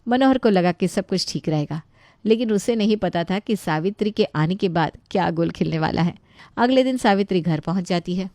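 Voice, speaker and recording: Woman reading a story script as narrator, slightly low voice, close dry recording. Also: lively intonation, normal speed, a little mellow, no echo.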